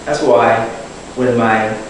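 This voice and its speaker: A man giving a public talk, answering an audience question in a thoughtful, measured way, often restarting his phrases. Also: mid-range voice, steady tone, a little hiss or hum. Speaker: guest speaker. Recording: echoey hall taped from far off.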